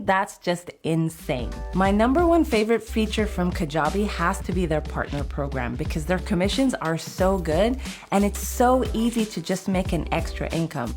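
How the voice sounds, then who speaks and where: A woman shares a personal success story as a customer testimonial. Her mid-pitched voice is expressive and enthusiastic, with faint music playing underneath. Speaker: online course creator giving a customer testimonial.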